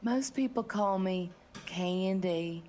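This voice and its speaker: A woman's voice: mid-range voice, slow pace, darkish tone, a little hiss or hum, natural voice. A woman playing a flirty, ditzy, put-upon bakery bookkeeper in a comedic audio drama, coy in manner.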